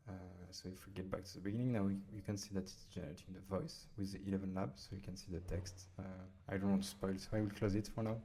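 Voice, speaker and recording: A man with a French accent and a low, even, fairly monotone voice walks through a software demo in a casual, unscripted way. He halts often with fillers as he types, and faint keyboard clicks sit behind him. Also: fast talker, hardly any pauses, a little hiss or hum. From France. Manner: hesitant, many fillers while typing. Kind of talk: casual screen-recorded tutorial.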